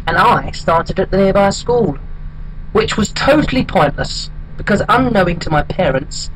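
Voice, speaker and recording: Casting: actor as hapless wistful bachelor, high male voice, deadpan and halting comic monologue, noisy homemade recording. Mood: deadpan, rueful. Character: hapless, wistful single man telling his odd life story.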